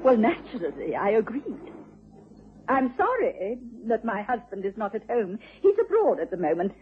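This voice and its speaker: An actress in a radio drama playing a proper, distressed British wife, with a genteel, husky voice. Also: female voice, fairly high pitch, sing-song, moderate pace, heavily acted. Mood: earnest. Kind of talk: radio drama acting with first-person narration. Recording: muffled radio drama recording.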